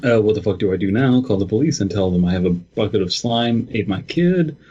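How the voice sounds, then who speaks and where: A man's voice: on the low side, lively intonation, a little fast, dark tone, slightly rough, muffled recording. A man hosting a comedy podcast, reading stories and joking in a dry, mock-formal way. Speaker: podcast host. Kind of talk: casual comedic chat and story reading.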